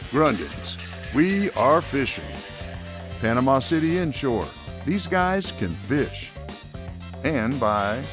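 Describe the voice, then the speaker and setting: A man reading the sponsor credits in a slow, deliberate, emphatic way. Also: mid-range voice. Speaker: sponsor announcer.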